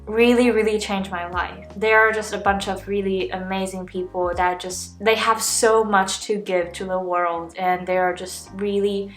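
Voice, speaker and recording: A young woman from Taiwan, a native Mandarin speaker, talks to her camera in a loose, thoughtful way, often restarting her sentences and hedging with fillers. Her voice sits at an ordinary middle pitch. The room gives it a noticeable echo, and soft music plays faintly underneath. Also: fairly even intonation, normal speed, hardly any pauses. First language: Mandarin Chinese. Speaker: graduate student vlogger. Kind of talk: casual talk to camera.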